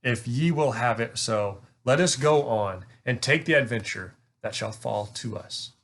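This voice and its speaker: A man's voice: mid-range voice, measured pace, fairly bright tone, slight background noise. Man playing a gracious, cautious storybook queen. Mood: yielding. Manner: formal archaic courtly speech. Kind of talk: storybook read-aloud.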